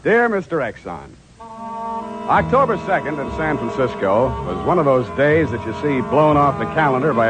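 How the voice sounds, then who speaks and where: An actor playing a wry, flippant hard-boiled private detective in 1940s radio drama style. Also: male voice, mid-range voice, close to an everyday voice, low-quality recording. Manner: sardonic, flippant, narrating in dry mock-formal style. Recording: old radio drama recording with music.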